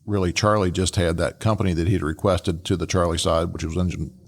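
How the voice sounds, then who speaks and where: Very deep-voiced man breaking things down. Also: level tone, brisk. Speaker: podcast co-host and fire service trainer. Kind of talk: casual analytical discussion.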